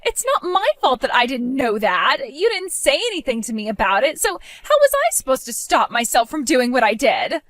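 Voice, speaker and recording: A woman voicing a spiteful, bratty young woman in an animated story, with a mocking, whiny, shrill manner. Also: moderate pace, few pauses, dry recording. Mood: pleading.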